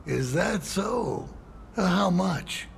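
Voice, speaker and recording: An actor playing a cocky, playful middle-aged crime boss in a video game, with a gravelly voice and a halting, folksy drawl. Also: male voice, sits high, animated, a little hiss or hum, full character voice. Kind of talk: cinematic video game acting.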